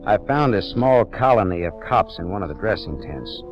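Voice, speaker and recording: An actor playing a wry, world-weary private detective in a 1940s radio noir drama, with clipped, dramatic narration. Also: male voice, fairly low pitch, big pitch swings, normal speed. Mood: tense narrating. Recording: dull 1940s radio recording, music behind.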